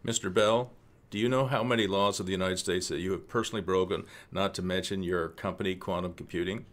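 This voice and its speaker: A man acts out a menacing government official in a radio play. His voice sits on the low side. He speaks formally and deliberately, with condescension and a suave threat under the words. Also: steady tone, natural voice. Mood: smug menace.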